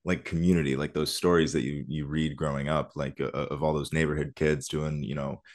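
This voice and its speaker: Very deep-voiced male podcast host. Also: flat delivery, brisk, darkish tone. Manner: rambling, hesitant questions full of fillers and restarts. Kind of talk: podcast interview.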